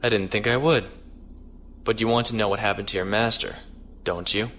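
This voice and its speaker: A man's voice: lowish voice, steady tone, moderate pace, fairly bright tone, slight background noise, natural voice. A man acting a stern, decisive young starship captain in a sci-fi audio drama. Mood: firm.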